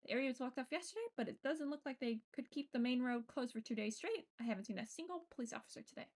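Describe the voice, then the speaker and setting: A woman's voice: high voice, fast, a little mellow. A woman streamer reading a visual novel's narration aloud live. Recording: music under the voice. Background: game music.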